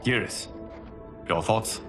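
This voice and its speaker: An actor playing a solemn, earnest fire god who protects his realm, speaking in a formal, measured, grave way in a video game. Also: over music, natural voice. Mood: grave, resolute. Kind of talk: cinematic video game acting.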